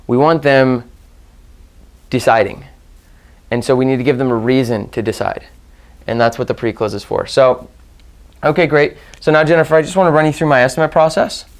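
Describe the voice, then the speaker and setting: A man's voice: mid-range voice, a little fast, long pauses. A man giving instructional talk as a sales trainer, repeating and rephrasing points for emphasis and demonstrating phone-call lines.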